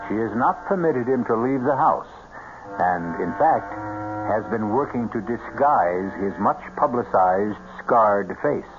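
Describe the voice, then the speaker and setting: A man's voice: fairly low pitch, animated, unhurried, over music. A man narrating as host of an old-time radio mystery, suave and ominous, in dramatic scripted style. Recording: dull old radio recording.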